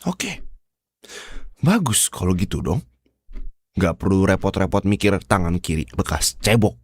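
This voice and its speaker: Bossy, sardonic office chief played by a male actor for ASMR roleplay; Indonesian accent, very low register, close dry sound. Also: big pitch swings, a little slow, slightly rough, no room sound, heavily acted. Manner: bossy, sardonic, addresses listener with rhetorical questions. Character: demanding, bossy male boss who softens into bribing his manager. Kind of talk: ASMR roleplay monologue to the listener.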